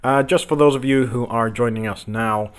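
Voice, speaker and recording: A man hosting a casual livestream from his home office, croaky from just waking up, rambling with lots of ums as he talks to viewers and the chart. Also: mid-range voice, moderate pace. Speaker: crypto livestream host. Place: home office with window open.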